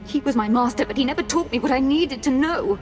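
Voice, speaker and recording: An actress voicing an unstable young female Sith lord, wounded and bitter, with a gravelly voice and a manner that swings from imperious rage to self-pity, in a video game cutscene. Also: high-pitched, fast, a little mellow, soft background music, natural voice.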